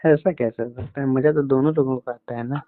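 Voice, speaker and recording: A man's voice: mid-range voice, fairly even intonation, brisk, no room sound. A man chatting casually and intimately on a phone call, in a coaxing, teasing manner. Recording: tinny, band-limited phone call recording.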